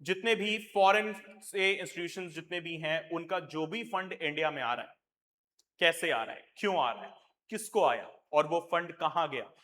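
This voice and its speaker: A man with an Indian accent teaching exam preparation, switching between Hindi and English and slowing down to stress the English phrases. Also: on the high side, bright, forceful tone. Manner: slows down and stresses the English phrases, addresses viewers directly. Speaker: UPSC coaching teacher. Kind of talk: explanatory lecture, mixing Hindi and English.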